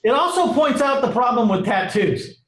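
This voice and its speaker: A man's voice: very high-pitched, big pitch swings, normal speed, a bit bright. A man hosting a podcast in casual chat, joking and upbeat with his guest. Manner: joking, upbeat, reads ad spots. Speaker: podcast host. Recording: roomy echo.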